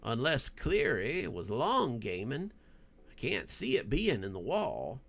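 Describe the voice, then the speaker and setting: A man's voice: medium pitch, huge pitch swings, takes it slowly, creaky, a bit bright, full character voice. A man voicing a tough, blunt male character in a horror story, terse and matter-of-fact.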